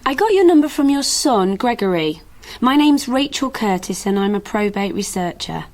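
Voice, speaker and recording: An actress playing a frank probate researcher in a radio drama, informal and direct in manner. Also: female voice, fairly high pitch, big pitch swings, moderate pace, darkish tone. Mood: businesslike.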